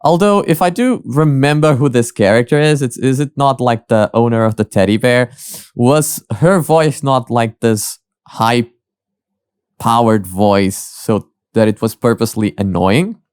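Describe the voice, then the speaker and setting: A man's voice: mid-range voice, measured pace, a little mellow, clear, resonant tone, no room sound, studio quality. A man hosting a reaction video with casual commentary, rambling and often repeating his phrases.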